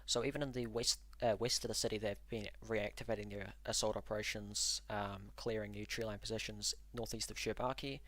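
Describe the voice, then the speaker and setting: Low-voiced man narrating a war map. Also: steady tone, fairly bright tone. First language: English. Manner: analytical, measured, frequent ums and uhs. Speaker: livestream host and war mapper. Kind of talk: live map commentary answering chat.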